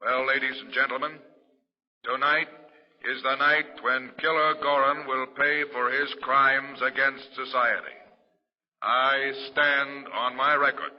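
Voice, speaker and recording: An actor playing a stern, resolute prison warden giving a formal, emphatic political speech in 1940s radio drama. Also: male voice, medium pitch, fairly even intonation, a little slow, lightly acted. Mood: grave, resolute. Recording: dull old radio recording, echoing hall sound.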